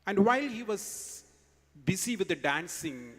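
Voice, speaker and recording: A man's voice: sits high, big pitch swings, unhurried, fairly bright tone, big-room sound. A man with an Indian English accent giving a morning assembly speech to students, telling a story emphatically and quizzing them. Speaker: school speaker at an assembly. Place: large school hall.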